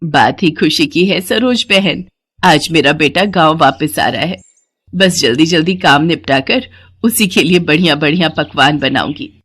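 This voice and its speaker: A woman's voice: sits low, lively intonation, measured pace, a bit bright, slightly rough, dry recording, full character voice. A woman voicing a devoted, protective village mother who speaks plainly in a cartoon moral story. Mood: fond.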